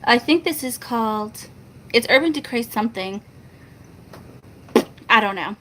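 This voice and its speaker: A soft-spoken woman, chatting casually and laughing with her viewers in a rambling, self-mocking way. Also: lively intonation, unhurried, slight background noise, rough recording. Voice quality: soft-spoken, high-pitched. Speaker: family vlogger.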